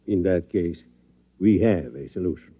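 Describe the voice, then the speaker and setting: A deep, urbane radio-drama scientist. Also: male voice, expressive, moderate pace, phone-quality sound. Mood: wry. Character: courteous, coolly wry male chief scientist. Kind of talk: 1950s radio drama acting. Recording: thin band-limited vintage radio recording.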